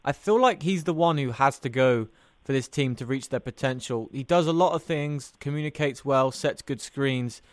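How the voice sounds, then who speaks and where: A man's voice: medium pitch, moderate pace, dry recording. Male podcast interviewer, mid-range voice, close and dry, stat-led sports questions. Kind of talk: interview questioning mixed with prepared sports commentary.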